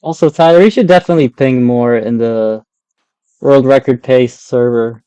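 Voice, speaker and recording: A man with an Argentinian accent and Spanish as his first language talks in a loose, conversational way while live streaming a game. His voice is mellow and smooth, sitting at an ordinary pitch. The sound is close and dry, with very little room on it. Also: normal speed, no room sound. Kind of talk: casual live stream chat while gaming.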